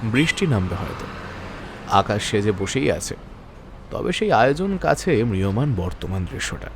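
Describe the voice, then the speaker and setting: A man's voice: fairly low pitch, sing-song, normal speed, a little hiss or hum. A man narrating a scripted detective thriller in a literary, dramatic storytelling manner.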